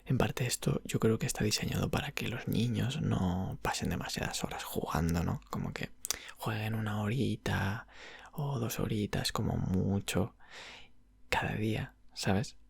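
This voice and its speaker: Breathy, creaky Spanish ASMR shop clerk played by a man. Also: on the low side, no room sound, heavily acted. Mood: relaxed, explaining. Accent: Spain. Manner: unhurried, hesitant, explaining gently in diminutives. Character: patient, friendly young male video game shop clerk. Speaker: ASMR roleplayer. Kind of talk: ASMR roleplay, soft whispered and low murmured talk. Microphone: close microphone. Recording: dry, very close ASMR recording.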